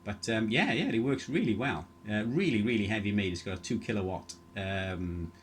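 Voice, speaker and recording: A man's voice: fairly low pitch, moderate pace, slight background noise. A man rambling casually through technical details as he chats as an amateur radio operator. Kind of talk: casual chat over ham radio.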